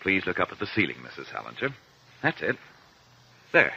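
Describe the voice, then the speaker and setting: No-nonsense doctor, vintage radio crime play; sharp, husky, clipped delivery. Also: male voice, low voice, very animated, full character voice. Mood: calm, professional. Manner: brisk, clipped instructions. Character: brisk, principled male doctor with simmering temper. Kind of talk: 1950s radio crime drama acting. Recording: muffled old 1950s radio recording.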